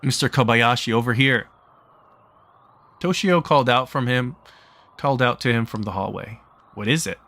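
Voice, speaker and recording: A man's voice: mid-range voice, normal speed, pauses often, bright, forceful tone. A man reading a game's story aloud in a plain, conversational way, mixed with casual chat. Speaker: streamer reading a visual novel aloud.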